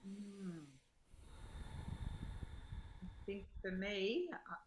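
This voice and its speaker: A woman taking part in a spiritual book study as a reading partner, speaking in a measured, careful way. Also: medium pitch, slight background noise. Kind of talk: reading aloud from a spiritual text.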